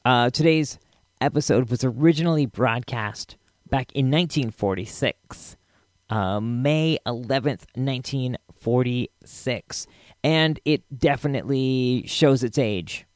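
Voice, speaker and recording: A man's voice: medium pitch, a little slow, darkish tone, no echo. A man hosting a podcast, giving a casual introduction to an episode. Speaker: podcast host.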